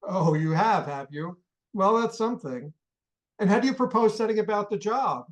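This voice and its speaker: An actor playing an impatient older American millionaire who barks orders and scolds. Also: male voice, sits high, lively intonation. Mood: scolding. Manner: barking, scolding, rapid-fire orders. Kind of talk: radio play acting.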